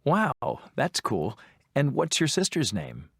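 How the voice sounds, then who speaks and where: An adult voice playing an interviewer in a scripted textbook dialogue, asking friendly questions with measured delivery. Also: mid-range voice, wildly swooping pitch, a bit bright, husky, dry recording, clean studio sound, heavily acted. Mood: plain.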